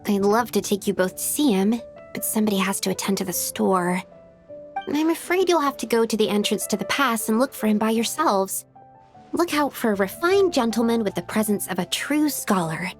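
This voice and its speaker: A woman voicing a shy, polite, bookish young alchemist in a video game, speaking softly and courteously with some hesitation. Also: medium pitch, expressive, moderate pace, faint music behind, natural voice. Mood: concerned, apologetic. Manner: soft-spoken, hesitant, courteous. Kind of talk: video game character acting.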